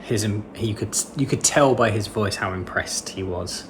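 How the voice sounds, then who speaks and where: A man vlogging in a relaxed, rambling way, full of ums and restarts. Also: on the low side, moderate pace, heavy background noise. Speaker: travel vlogger. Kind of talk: casual chat to camera.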